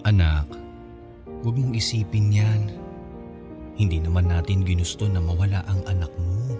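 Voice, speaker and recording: Man acting a low-voiced, campy Filipino father. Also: steady tone, normal speed, loud music behind the voice, natural voice. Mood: consoling, tender. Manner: campy, affectionate, consoling. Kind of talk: radio drama acting.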